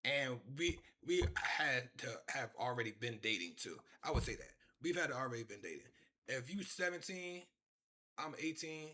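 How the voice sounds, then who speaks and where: A man's voice: medium pitch, animated, moderate pace, bright, forceful tone. A man in his twenties chatting casually on a live stream, rambling and repeating himself as he talks to his chat from his room. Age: 20s.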